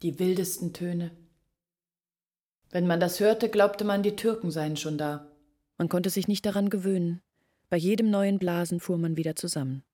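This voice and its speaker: A woman's voice: on the low side, moderate pace, mellow, no room sound, studio quality, close to an everyday voice. An actress in a radio play playing a village woman who recounts her family's downfall. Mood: vivid, agitated. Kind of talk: radio play monologue, storytelling. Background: music.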